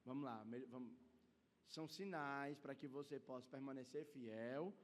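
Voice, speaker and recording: A man's voice: mid-range voice, normal speed, slight background noise. A man with a northeastern Brazilian accent from Ceará, a pastor preaching an expository sermon in a conversational, emphatic way to a congregation. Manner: conversational, emphatic, rhetorical questions and check-ins. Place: church hall, live service. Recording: long hall reverb.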